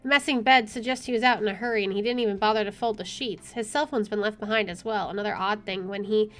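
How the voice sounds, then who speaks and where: A woman's voice: medium pitch, fairly even intonation, fast talker, fairly bright tone. A woman reading visual novel narration aloud as a game streamer, informally, with offhand asides. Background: game music.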